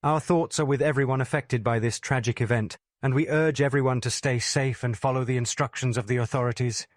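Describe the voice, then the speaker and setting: A man's voice: medium pitch, fairly even intonation, dry recording. Man, formal emergency TV announcer, dry studio sound. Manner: formal, measured. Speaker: television continuity announcer. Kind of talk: scripted emergency news announcement.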